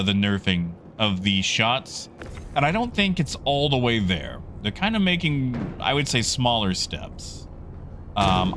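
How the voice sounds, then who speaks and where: A man's voice: fairly low pitch, fairly bright tone, a little hiss or hum. A man hosting a livestream, chatting casually and in a rambling, conversational way with his viewers. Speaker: livestream host.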